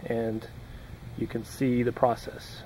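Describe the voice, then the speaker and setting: A young man explaining hatchet woodworking in a relaxed, unhurried way while chopping outdoors in a yard, recorded on the camera. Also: medium pitch, background noise. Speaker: woodworking instructor. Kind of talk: casual how-to demonstration.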